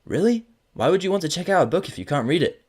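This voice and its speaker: A man voicing a cheerful, naive young male baby dragon helper in a high, strained voice. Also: animated, fast, darkish tone, husky. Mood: puzzled. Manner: eager, naive.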